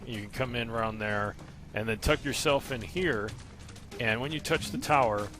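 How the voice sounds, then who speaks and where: A man's voice: medium pitch, moderate pace, pushed and bright. A man giving casual, step-by-step instructional commentary over a video game walkthrough. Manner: explanatory, step-by-step. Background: game gunfire and music.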